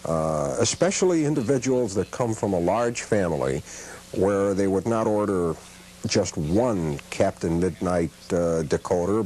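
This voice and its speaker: A man in his fifties, a guest collector, answering interview questions in a measured, thoughtful way with many filler pauses. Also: sits low, mellow, slight background noise, low-quality recording.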